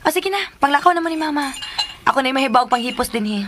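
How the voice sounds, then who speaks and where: A woman's voice: sits high, expressive, fast talker, over music. An actress playing a humble, hesitant young woman in a radio drama, speaking with a Cebuano Filipino accent and a deferential manner. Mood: dutiful, gentle. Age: young adult.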